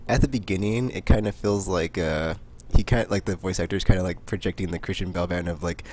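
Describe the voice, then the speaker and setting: A man's voice: sits low, fairly even intonation, darkish tone, slight background noise. A man co-hosting a podcast, chatting casually about games and filling his talk with "like". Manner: fast, filler-heavy, says "like" constantly. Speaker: podcast co-host. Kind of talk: casual gaming chat.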